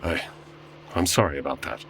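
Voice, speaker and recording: A man's voice: a bass voice, animated, moderate pace, vocal fry, a bit bright, a little hiss or hum, exaggerated voice. A man playing an anxious, flustered 1940s man in an old-time radio comedy parody, hesitant and stammering. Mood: subdued, hesitant.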